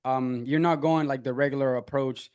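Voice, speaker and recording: A man's voice: medium pitch, fairly even intonation, normal speed, a little mellow, smooth. A man from Venezuela hosting a casual video-call interview, friendly with frequent restarts and fillers. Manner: friendly, stumbling restarts, lots of "um". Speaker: interviewer host.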